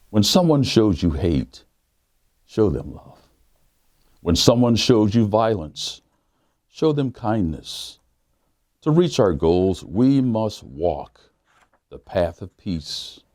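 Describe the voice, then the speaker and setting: A man, a pastor, reading a children's book aloud in a measured, emphatic way with dramatic pauses. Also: low voice, mellow, dry recording, clean studio sound. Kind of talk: storybook read-aloud for children.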